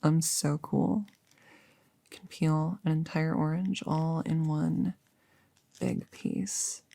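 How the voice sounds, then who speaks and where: A woman's voice: sits low, steady tone, takes it slowly, gravelly, dark tone, dry recording, full character voice. A woman playing a chatty, upbeat girlfriend in an intimate ASMR roleplay, rambling casually and musing with hesitations. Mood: playful, nostalgic. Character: chatty, upbeat girlfriend about to become a vampire.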